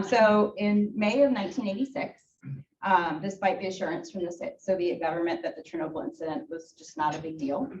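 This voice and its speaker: Ukrainian-born woman in her thirties, native Russian speaker, guest talk with fillers; low gravelly voice, taken from across an echoey hall. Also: a little hiss or hum. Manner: halting, many fillers and restarts. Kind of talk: personal talk to a club. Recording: echoey room from far off, dull.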